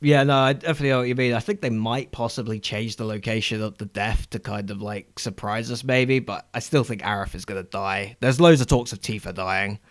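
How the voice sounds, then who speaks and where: A man's voice: on the low side, brisk, hardly any pauses, no room sound, studio quality. A man talking casually as a panelist in a fan discussion podcast.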